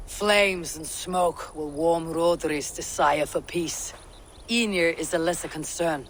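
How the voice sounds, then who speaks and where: An actress playing a level-headed, resolute Viking war leader in a video game, terse and commanding. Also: female voice, deep voice, slow, slight background noise. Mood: calm, resolute. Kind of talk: dramatic video game acting.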